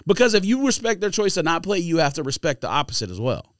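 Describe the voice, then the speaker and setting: A man's voice: on the high side, sing-song, quick pace, no room sound, clean studio sound. Insistent American man debating. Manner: argumentative, insistent. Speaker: podcast co-host. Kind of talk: casual sports debate.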